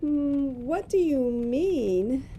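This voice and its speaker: A woman playing an anxious but plucky magazine reporter in an audio drama, with dramatic, expressive delivery. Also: high voice, drawn-out words, slight background noise, exaggerated voice. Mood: bewildered. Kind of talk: amateur audio drama acting.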